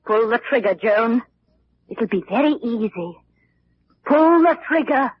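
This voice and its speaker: An actress playing a cold, vengeful, domineering murderess who gives deliberate orders in an old radio thriller. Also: female voice, a little high, expressive, a little hiss or hum. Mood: commanding. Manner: commanding, deliberate, menacing. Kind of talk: 1940s radio thriller acting. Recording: muffled, husky old-time radio recording.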